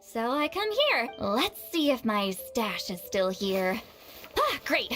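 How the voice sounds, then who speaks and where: A woman's voice: on the high side, sing-song, slow pace, fairly bright tone, faint music behind, full character voice. An actress playing a centuries-old but youthful-sounding shaman woman in a video game, guarded, prickly and awkward, with hesitant, melancholy delivery. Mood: brisk, guarded. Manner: flustered, hesitant, sighing, turning wistful.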